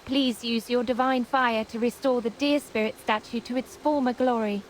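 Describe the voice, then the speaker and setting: Actress as an earnest, higher-voiced young guardian woman. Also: fairly even intonation, normal speed, slight background noise, lightly acted. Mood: reverent, resolved. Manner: formal, respectful, earnest. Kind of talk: scripted fantasy game voice acting. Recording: music and rain behind the voice. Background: music, rain.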